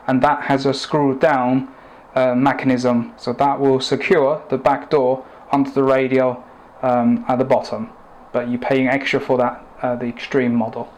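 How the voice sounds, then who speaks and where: A man narrating an informal product review, explaining things in a hesitant, halting way. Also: medium pitch, darkish tone.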